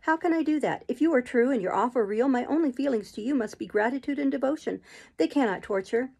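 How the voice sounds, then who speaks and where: A woman's voice: high-pitched, expressive, fast, creaky, a little mellow, husky, voice pushed up, heavily acted. A woman voicing a proud, devoted young woman in love, earnest and emphatic in a storybook reading.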